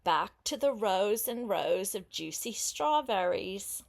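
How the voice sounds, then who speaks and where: Older woman, grandmotherly storybook reader, very slow pace, lively pitch swings, chatty asides to a young listener. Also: vocal fry. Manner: unhurried, expressive, explains words and asks questions. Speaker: grandmother reading a picture book aloud to a child.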